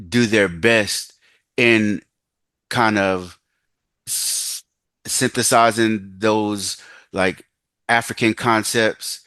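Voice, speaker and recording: A man hosting a conversational interview, speaking in a casual, halting way full of fillers. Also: fairly low pitch, fairly bright tone, no room sound. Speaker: host.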